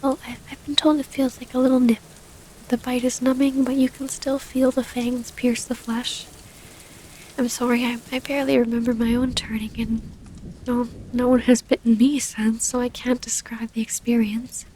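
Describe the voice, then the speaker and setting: A woman playing a devoted, gently predatory vampire lover in a soothing, breathy half-whisper for an intimate ASMR roleplay. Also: on the high side, little pitch movement, moderate pace, natural voice, low-quality recording. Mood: gently explaining. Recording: close-up with rain ambience, faint noise.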